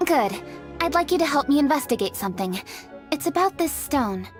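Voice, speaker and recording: A woman voicing an earnest, scholarly young official in a video game, speaking briskly and clearly. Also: high-pitched, expressive, over music.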